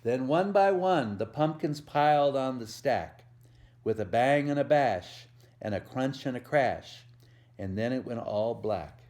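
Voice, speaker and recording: A man reading a picture book aloud to young children as a volunteer storyteller, gently explaining things and asking the listener questions in simple words. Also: medium pitch, animated, measured pace.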